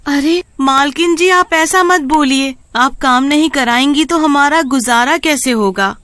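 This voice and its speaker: A woman's voice: high voice, measured pace, fairly bright tone, no room sound, pitched above the performer's own voice. A woman voicing a poor, anxious young elder sister in a story, earnest and pleading.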